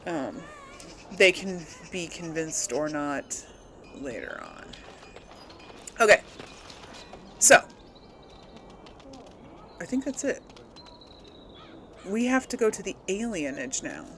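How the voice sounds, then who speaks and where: A woman's voice: lowish voice, expressive, takes it slowly, pauses often, a little hiss or hum. A woman giving casual, unscripted game commentary to viewers, rambling a little as she thinks aloud. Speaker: let's play host.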